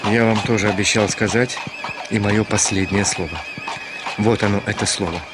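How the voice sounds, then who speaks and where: An actor playing a weary, irritable gentleman in a Russian radio drama, impatient, emphatic and theatrical in manner. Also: lowish voice, steady tone, moderate pace, heavy background noise, close to an everyday voice. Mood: firm, challenging. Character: weary, irritable gentleman, curt with an unwelcome rival.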